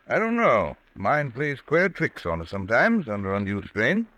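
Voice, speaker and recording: An actor playing a concerned, reassuring middle-aged gentleman in 1940s radio style, placating and old-fashioned in manner. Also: mid-range voice, huge pitch swings, moderate pace, no echo. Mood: concerned, soothing. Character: concerned, reassuring male doctor and friend. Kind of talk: 1940s radio suspense acting. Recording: dry vintage radio drama recording.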